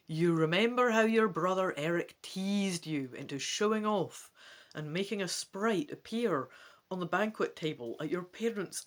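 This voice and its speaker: Animated, chatty woman with a lowish voice. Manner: chatty, animated, self-questioning asides. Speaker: host of a gamebook let's play. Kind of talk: casual commentary and dramatic reading aloud.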